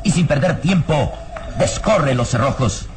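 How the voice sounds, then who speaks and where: An actor as the solemn, suspenseful narrator of an old radio serial, delivering scripted dramatic narration. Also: male voice, fairly high pitch, expressive, measured pace. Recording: old radio serial over loud music.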